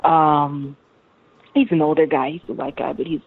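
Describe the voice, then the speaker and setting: Low-pitched American woman, thin phone-like sound. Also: steady tone, moderate pace, breathy edge, dry recording. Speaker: interview guest, musician. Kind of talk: casual chat.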